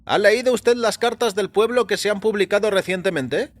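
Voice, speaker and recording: A talkative, genial doctor, acted by a man in an audio story. The voice rides high and animated, breezy and matter-of-fact, with music underneath. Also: normal speed, close to an everyday voice. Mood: animated, chatty. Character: chatty, jovial doctor, curious and matter-of-fact. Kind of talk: audio fiction character acting. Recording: music behind the voice.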